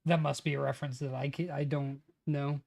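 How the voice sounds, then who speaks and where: A man in his late twenties chatting on a casual stream, his voice sitting at an ordinary middle pitch. He murmurs fragments aloud as he types, then drops into loose, profane banter with a friend. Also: level tone, fairly quick, creaky, darkish tone. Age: 20s. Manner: mutters lines aloud while typing, then casual banter with swearing. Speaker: streamer writing a tabletop campaign. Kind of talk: casual livestream chat.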